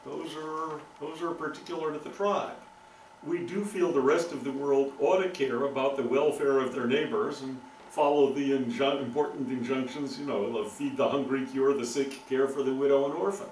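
An older man giving a relaxed, thoughtful lecture with frequent ums, recorded by a far camera in a meeting room. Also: medium pitch, normal speed, reverberant, slight background noise. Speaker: guest lecturer. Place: meeting room with green walls.

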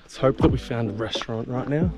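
A man's voice: mid-range voice, fairly quick. A man chatting casually to his camera while hiking a mountain trail, joking and sarcastic. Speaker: travel vlogger. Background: music.

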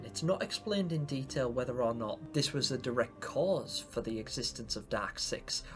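A man in his twenties hosting a scripted theory video, speaking in an expressive, theatrical storytelling way. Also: mid-range voice. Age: 20s. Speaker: video host. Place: small room at home, indoors.

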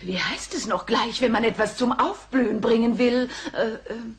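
A woman's voice: fairly high pitch, normal speed, slight background noise, lightly acted. A woman playing an earnest, caring, fussing middle-aged mother in a cartoon. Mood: apologetic, eager.

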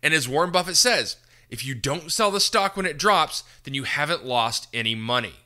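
Bright, forceful male podcast host. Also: on the high side, expressive, normal speed. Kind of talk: scripted news commentary narration.

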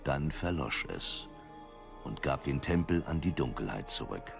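A man's voice: rumbling low, lively intonation, measured pace, creaky, heavily acted. A man narrating a fantasy audio drama in a dramatic scripted style. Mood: solemn. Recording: loud music behind the voice.

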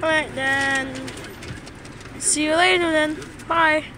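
Slow-talking, high-pitched playful voice in car. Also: steady tone. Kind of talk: casual chat to camera. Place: inside a car, back seat. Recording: noisy phone recording inside a car.

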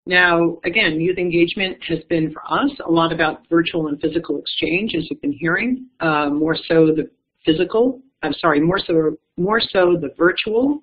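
A woman leading a foundation, explaining its programs in a measured panel talk with some self-corrections. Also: lowish voice, breathy edge. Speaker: executive director of an education foundation. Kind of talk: panel talk answering audience questions. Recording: tinny phone-like line.

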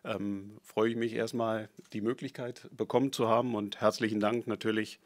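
Eager berlin guy in his 40s, lowish voice, press conference table mic. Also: flat delivery, normal speed. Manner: eager, upbeat. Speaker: new sporting director. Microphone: table microphone.

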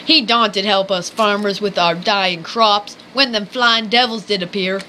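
A woman's voice: medium pitch, measured pace, sharp tone, slight background noise. A woman playing a scrappy country hick farmer who rides the rails, talking in folksy, ungrammatical slang in an amateur radio skit. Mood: folksy, cocky.

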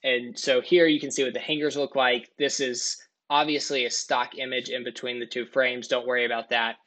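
A man's voice: medium pitch, level tone, moderate pace, a bit bright. Casual man walking through a tutorial. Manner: casual, step-by-step explaining. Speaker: product tutorial presenter. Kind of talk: screen-recording tutorial voice-over.

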